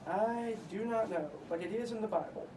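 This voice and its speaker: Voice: medium pitch, normal speed, warm tone, natural voice. A performer voicing a boastful, bossy puppet storyteller, pompous and emphatic, telling comic Bible tales. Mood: scornful, exasperated. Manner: pompous, emphatic, mock-scholarly. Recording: echoey room, distant mic, faint noise.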